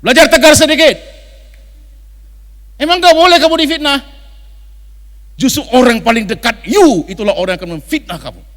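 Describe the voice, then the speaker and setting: Animated Indonesian male preacher in a reverberant hall. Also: extremely high pitch, fairly quick, long pauses. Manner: dramatic, colloquial, mixes in English phrases. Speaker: pastor preaching. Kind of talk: animated storytelling sermon acting out dialogue. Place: large church function hall.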